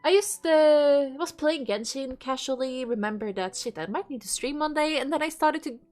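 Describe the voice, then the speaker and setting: A woman's voice: high voice, moderate pace, a little mellow, faint music behind. Stuffy-nosed woman streamer reading game text. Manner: reads lines aloud, swears and laughs between. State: stuffy nose. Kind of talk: casual game stream, reading dialogue aloud.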